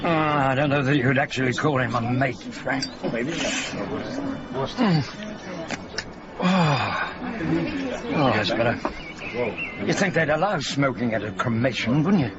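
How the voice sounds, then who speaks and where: An actor playing an irreverent, teasing crime reporter in a radio drama, with wry, offhand asides. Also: male voice, mid-range voice, animated, unhurried, long pauses, dull audio, over a noisy crowd, lightly acted.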